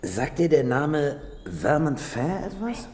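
An actor playing a terse private detective asking probing, matter-of-fact questions in a radio drama. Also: male voice, on the high side, big pitch swings, slow. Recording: large reverberant hall, faint noise.

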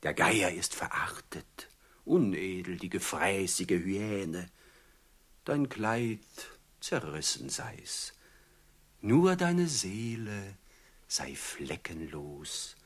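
An actor playing a falsely courteous, menacing robber chief who quotes poetry in an unctuous, theatrically drawn-out way, in a classic German radio drama. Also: male voice, low-pitched, lively intonation, slightly rough, full character voice. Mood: solemn reciting. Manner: unctuous, mock-polite, theatrically drawn-out phrasing.

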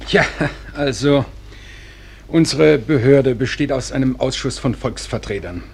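Husky, dry small-town publisher. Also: male voice, medium pitch, darkish tone. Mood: dry, official. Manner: dry, unhurried, hesitating. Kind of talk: German radio play acting.

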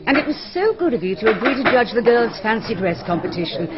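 An actress doing a bossy, efficient hostess who directs her helpers briskly, in a fairly high voice. It is a muffled archive radio recording. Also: animated, heavy background noise, natural voice. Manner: brisk and organizing. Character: brisk, bossy middle-aged female party hostess.

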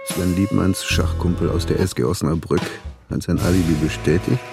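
An actor playing a wry, gravelly male narrator in a German radio crime drama. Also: deep voice, exaggerated voice.

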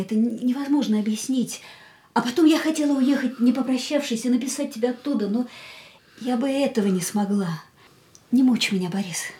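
Actress playing resolute anguished woman, high voice, pleading, earnest, dramatic radio play delivery. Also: warm tone, natural voice. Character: anguished, resolute woman leaving the man she loves. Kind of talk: Russian radio play acting.

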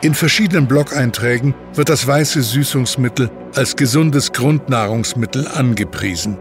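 A male narrator reads a scripted documentary-style piece. His voice sits at a medium pitch, and music plays underneath. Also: normal speed.

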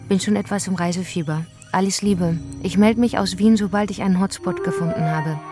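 A woman reading the narration of a radio feature in a calm, measured way. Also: on the low side, loud music behind the voice.

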